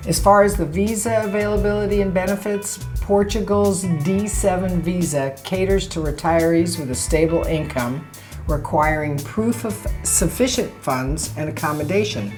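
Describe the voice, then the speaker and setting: A woman with a very low voice co-hosts a casual chat. She reads facts from her notes and breaks in with chatty asides of her own. Faint music plays underneath. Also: moderate pace, darkish tone. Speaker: co-host.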